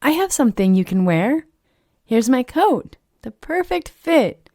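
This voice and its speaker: A woman's voice: high voice, very animated, measured pace, warm tone, no room sound, studio quality, pitched above the performer's own voice, full character voice. A woman voicing kind, eager little children in a storybook, with an exclaiming, sing-song delivery. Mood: generous. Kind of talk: animated storybook read-aloud.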